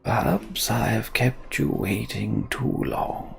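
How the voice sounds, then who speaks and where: Man voicing a slow, solemn, archaic fantasy figure. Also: lowish voice, lively intonation, gravelly, faint music behind, exaggerated voice. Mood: solemn, wondering. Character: solemn archaic fantasy game character, questioning intruders.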